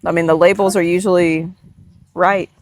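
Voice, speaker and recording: A woman's voice: lowish voice, little pitch movement, moderate pace, darkish tone, no echo. Woman chatting casually, dry sound. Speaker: host, parent chatting with their child. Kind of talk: casual unscripted chat. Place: outdoors on a farm, sitting and chatting.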